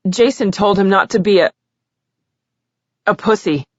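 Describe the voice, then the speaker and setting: Casting: a woman doing a crying teenage girl in a storybook, voice trembling, pitched up, dry and slightly dull recording. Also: measured pace, dry recording, close to an everyday voice. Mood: shaky. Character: tearful teenage girl giving courtroom statement.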